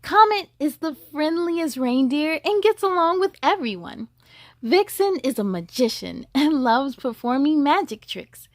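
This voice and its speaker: A woman voicing an upbeat, proud elf in a children's storybook, with emphatic, animated delivery. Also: high voice, measured pace, no echo, clean studio sound. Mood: bright, admiring. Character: upbeat elf proudly presenting Santa's reindeer.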